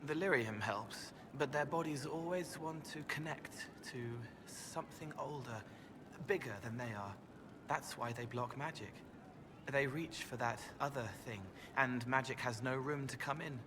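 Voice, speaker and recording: An actor playing a gentle, otherworldly young man in a video game, speaking in dreamy, halting, poetic fragments. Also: medium pitch, background noise, close to an everyday voice. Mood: pensive. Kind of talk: video game dialogue acting.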